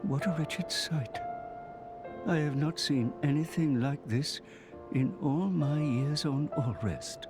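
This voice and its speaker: A man voicing a grave, formal elder companion in a video game, with a measured way of talking. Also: on the high side. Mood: grave, thoughtful. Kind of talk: video game cutscene acting. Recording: game music behind.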